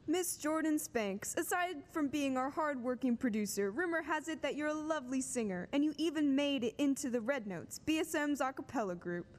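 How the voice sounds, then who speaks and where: Woman doing scripted radio interview, high lively voice, echoey hall. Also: normal speed, hardly any pauses. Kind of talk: scripted sponsor skit. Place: school auditorium stage.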